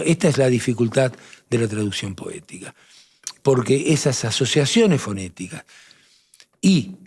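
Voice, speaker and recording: A man with a Rioplatense accent explaining poetry and translation in a measured talk-show conversation, often restarting his phrases. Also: medium pitch, a little mellow. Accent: Rioplatense Spanish. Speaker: literature professor on a TV talk show.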